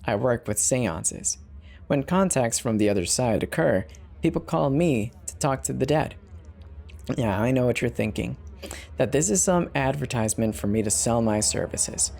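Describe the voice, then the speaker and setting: A man's voice: mid-range voice, expressive, moderate pace, creaky, a little mellow. A man narrating a horror story as a dramatic scripted reading. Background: background music.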